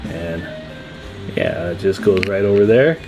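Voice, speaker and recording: A man's voice: low-pitched, unhurried, music in the background. A man talking casually and informally as he hosts a hands-on radio repair demonstration, in a chatty, folksy manner. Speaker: host of a CB radio repair channel.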